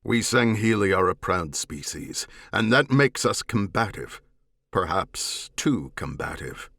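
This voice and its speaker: Audiobook role: proud alien warlord played by a male narrator, deep, measured, formal, self-critical, dry close sound. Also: lively intonation, fairly bright tone, no echo, heavily acted. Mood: grave, reflective. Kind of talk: audiobook character dialogue.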